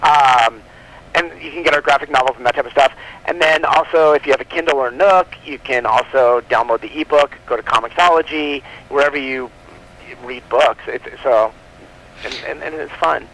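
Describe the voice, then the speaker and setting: A man with a mid-pitched voice chats as a guest on a live telephone interview. He is enthusiastic and talkative, explaining his publishing work in a loose, casual way. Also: moderate pace, fairly bright tone, a little hiss or hum. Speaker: guest, comic book publisher. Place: on a telephone line. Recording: live telephone call on radio show.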